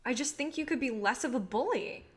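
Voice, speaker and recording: A woman's voice: sits high, animated, fairly quick, husky. An actress in a staged reading playing a young, idealistic filmmaker who defiantly stands her ground.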